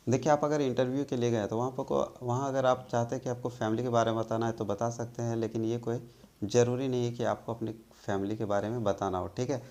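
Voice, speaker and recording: A man from India teaching spoken English in a slow, patient way, often checking that the learners follow. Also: mid-range voice, level tone, darkish tone.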